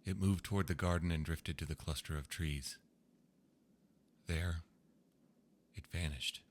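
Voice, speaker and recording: A man reading old ghost stories aloud in a slow, hushed storytelling manner. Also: a bass voice, steady tone. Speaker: narrator. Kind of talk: scripted ghost story narration.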